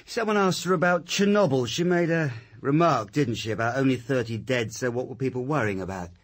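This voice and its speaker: An actor playing a blunt, needling police sergeant in a radio drama. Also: male voice, medium pitch, brisk, close to an everyday voice. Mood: challenging. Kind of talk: British radio drama acting.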